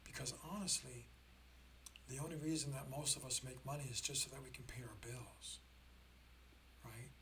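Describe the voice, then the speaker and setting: Guy with a kinda hoarse but bright voice, mid pitch, talking slowly through big thoughts with pauses, some noise in the background. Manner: halting, deliberate, checks in with "right?". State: slightly hoarse after a throat infection. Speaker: host of a spiritual learning channel. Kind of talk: reflective talk to camera.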